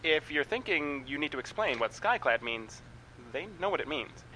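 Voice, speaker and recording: An actor playing a cheeky small-town morning radio host, breezy and deadpan in a comedy radio play. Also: male voice, a little high, moderate pace, slight background noise, low-quality recording. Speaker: morning show announcer. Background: music.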